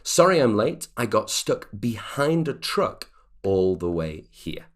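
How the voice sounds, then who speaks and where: A man's voice: on the low side, very animated, slow pace. A man teaching an online English grammar lesson, explaining patiently and checking in with the viewer as he goes.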